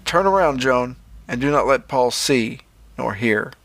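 A man's voice: medium pitch, expressive, unhurried, fairly bright tone. A man voicing a desperate, protective farmer and father in a storybook reading, earnest and pleading. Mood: resigned, pleading.

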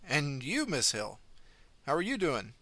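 A man's voice: on the high side, huge pitch swings, unhurried, sharp tone, dry recording, voice pushed up, full character voice. A man voicing a stern, muscular doctor who speaks with polished, reassuring politeness. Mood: courteous.

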